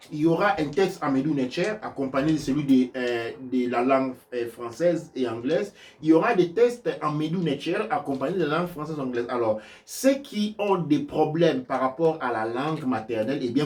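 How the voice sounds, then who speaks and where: A man giving religious teaching on offerings and prayers, speaking haltingly with many restarts and sudden shifts between loud and soft. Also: medium pitch, recorded from a distance. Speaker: spiritual teacher. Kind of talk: religious teaching in a live stream.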